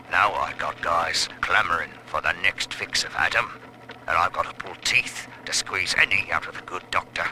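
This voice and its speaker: A man playing a gruff, cynical prison boss in a video game audio log. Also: deep voice, sharp tone, slight background noise, heavily acted, low-quality recording.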